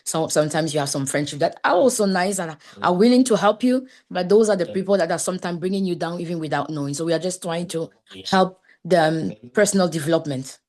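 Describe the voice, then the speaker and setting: Earnest woman from Ghana, halting speech. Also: lowish voice, a little mellow. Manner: earnest, halting with many fillers and restarts. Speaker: guest. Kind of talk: conversational interview.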